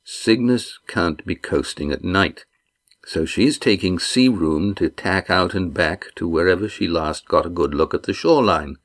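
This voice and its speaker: A man's voice: deep voice, measured pace. A male narrator voicing a proud, injured sailing master who talks in clipped, curt replies. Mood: terse.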